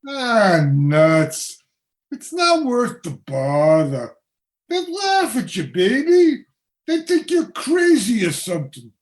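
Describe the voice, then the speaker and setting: Skeptical, brusque husband for radio play, male actor; very lively intonation, unhurried pace. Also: extremely high pitch, exaggerated voice. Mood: dismissive, tired. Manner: brusque, scoffing, slangy. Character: dismissive, skeptical working-class husband.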